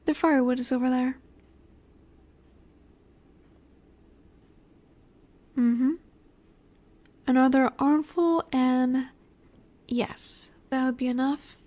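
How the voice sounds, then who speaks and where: A woman playing a dominant, nurturing snake-woman monster in an intimate roleplay audio, speaking in a gentle, coaxing way that often sinks toward a whisper. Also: high-pitched, steady tone, measured pace, pauses often. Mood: calm, instructive.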